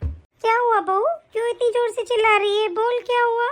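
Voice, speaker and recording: A woman voicing a soft-hearted, fretful old grandmother in a family skit. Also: very high voice, little pitch movement, moderate pace, voice pushed up. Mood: gentle. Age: older. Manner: gentle, fretful. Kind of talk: comic family skit acting.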